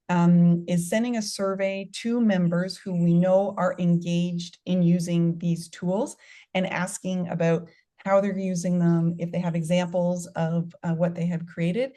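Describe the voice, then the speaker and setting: A woman's voice: fairly low pitch, flat delivery, unhurried, mellow. A woman co-hosting a webinar, politely explaining answers to attendees' questions. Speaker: webinar co-host. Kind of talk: webinar Q&A response.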